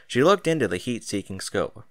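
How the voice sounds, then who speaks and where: Animated male story narrator, very dry sound. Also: fairly low pitch, normal speed, no room sound. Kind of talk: scripted dramatic story narration.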